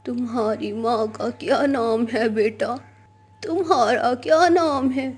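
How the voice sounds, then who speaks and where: A woman's voice: fairly high pitch, slow pace, slightly rough, faint music behind. A woman voicing a kindly, protective mother-like dove in a children's cartoon, soothing and reassuring. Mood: gentle concern.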